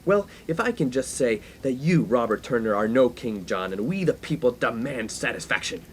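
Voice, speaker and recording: A man's voice: mid-range voice, lively intonation, normal speed, slight background noise, close to an everyday voice. An actor playing a pompous, skirt-chasing young college man in a 1950s comedic radio play, given to grandiose, wordy speeches. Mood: indignant, grandiose.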